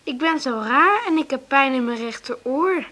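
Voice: high voice, expressive, moderate pace, bright, forceful tone. A performer playing an unwell, whiny young schoolboy in a naturalistic radio play, plaintive and childlike.

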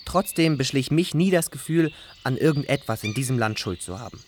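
A man's voice: mid-range voice, animated, a little fast, slight background noise, natural voice. A man playing an ironic, stubborn young refugee narrating in a literary radio play.

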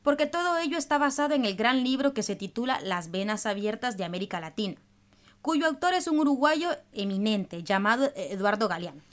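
Lady radio host, voice a bit high, explains stuff like a teacher, scripted. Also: lively intonation, fairly quick. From El Salvador. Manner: explanatory, didactic. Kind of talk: scripted radio presentation in dialogue.

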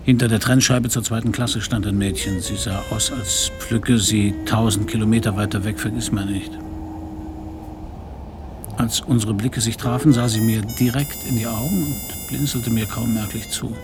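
A man's voice: lowish voice, fairly even intonation, normal speed, music in the background, natural voice. An actor playing a hard-boiled, stubborn male private detective in a radio crime play, talking in a dry, laconic, sardonic way. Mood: wry, musing. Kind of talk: first-person radio crime drama narration and dialogue.

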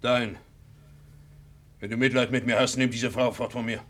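A man's voice: mid-range voice, little pitch movement, moderate pace, a bit bright, lightly acted. A man playing a bitter, proud, wounded father in a radio play. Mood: bitter, stern.

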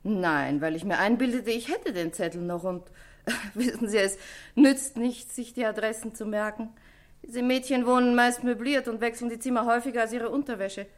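A world-weary businesswoman, played by a woman in a radio play, with a somewhat high voice. She talks with dry indifference and sardonic disdain. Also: moderate pace, close to an everyday voice. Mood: matter-of-fact, dry.

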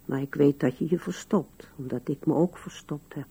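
An older woman acting in a radio drama as a musing, wistful old widow with a gravelly, husky voice. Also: low-pitched, normal speed, mellow, exaggerated voice. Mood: tender, sorrowful.

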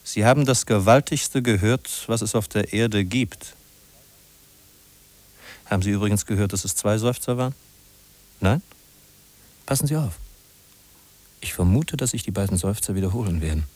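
An actor in a 1950s radio play, playing an insistent, accusing narrator who addresses the listener in an urgent, rhetorical way. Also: male voice, fairly low pitch, expressive, measured pace, long pauses, a little mellow, no echo. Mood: coaxing, insistent. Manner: urgent, rhetorical, polite but probing.

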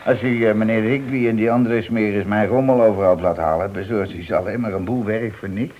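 An actor playing a cheeky, evasive small-time crook in a radio drama, mock-polite and streetwise. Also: male voice, lowish voice, fairly even intonation, a little fast, natural voice. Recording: dull, noisy old radio play recording.

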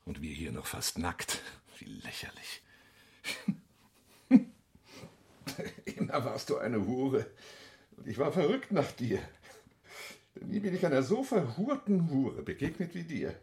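An actor playing a middle-aged, lustful and wounded sardonic lover in a German radio play. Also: male voice, mid-range voice, wildly swooping pitch, unhurried, pauses often, dark tone. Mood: bitter, mocking.

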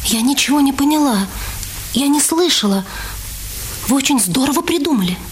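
A woman playing a brisk, practical and teasing young woman in a radio drama. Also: sits high, expressive, slight background noise, voice pushed up. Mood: earnest.

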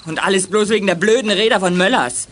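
Man playing a high-pitched whiny young crook. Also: quick pace, close to an everyday voice. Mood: panicked whining. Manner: wailing, lamenting. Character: whiny, frightened young male petty crook and sidekick. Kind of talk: 1980s German youth radio play acting.

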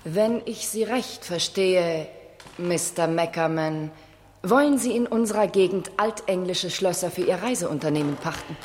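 A woman playing a proud, haughty lady of an English castle in a German radio crime play, speaking in a measured, disdainful way. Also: on the low side, darkish tone, long reverb tail, slight background noise, natural voice. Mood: proud, courting.

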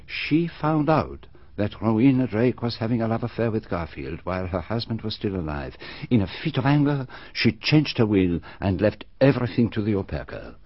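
An actor playing a calm, methodical detective who lays out a murder solution in a measured, deliberate way, in a radio drama. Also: male voice, lowish voice, breathy edge, no room sound, full character voice. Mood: grave. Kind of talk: BBC radio drama acting. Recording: muffled dry archive radio recording.

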